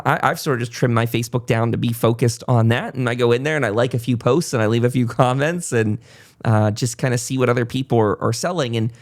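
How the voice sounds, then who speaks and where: A man speaks as a podcast host at a middling pitch. He talks in a loose, conversational interview style, effusive and wandering as he goes.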